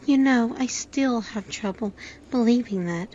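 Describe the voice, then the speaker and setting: A woman's voice: on the high side, measured pace, mellow, close to an everyday voice. A woman playing a chatty, friendly barmaid who runs a pub, in an audio drama. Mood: gossipy. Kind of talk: amateur audio drama acting. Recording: dull lo-fi sound, faint noise.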